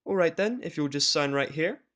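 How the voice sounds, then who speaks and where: Casting: male reader as female cartoon pony nurse, raised pitch, courteous and kindly. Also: expressive, normal speed, fairly bright tone. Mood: friendly, helpful. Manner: pleasant, professional.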